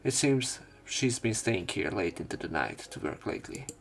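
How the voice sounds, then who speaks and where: Low, creaky-voiced man reading game dialogue. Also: animated, normal speed. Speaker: let's play host. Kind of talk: reading visual novel lines aloud with casual commentary.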